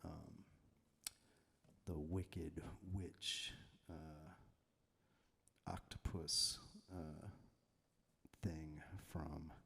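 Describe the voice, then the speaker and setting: A man chatting casually between songs on a live stream from his garage, soft-spoken and rambling, with plenty of fillers. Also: extremely low pitch, flat delivery, drawn-out words, vocal fry, long pauses, breathy edge. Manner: rambling, filler-heavy, laid-back storytelling. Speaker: musician hosting a live stream. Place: home garage.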